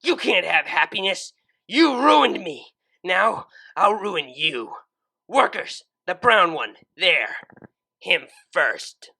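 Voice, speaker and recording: A reader voicing a grown female pegasus turned cruel, who yells at a young pony with bitter fury in a fan fiction audiobook. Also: mid-range voice, very animated, slow, vocal fry, husky, pitched above the performer's own voice, full character voice, poor audio. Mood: vengeful. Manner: furious yelling, bitter and cold. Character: adult mare pegasus turned ruthless, screaming with hate.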